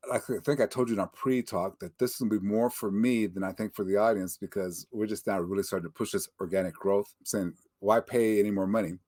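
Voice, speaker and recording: A man's voice: on the low side, fairly even intonation, fairly quick, hardly any pauses, a little mellow, no room sound, clean studio sound. An older man hosting a casual interview over a video call, chatty and joking. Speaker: interview host. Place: home office in Denver, Colorado.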